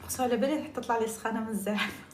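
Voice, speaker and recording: Algerian woman chatting fast on a live stream, echoey room, noisy background. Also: on the high side, steady tone, darkish tone. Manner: chatty, jumps between topics, mixes in French and English. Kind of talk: casual live-stream chat.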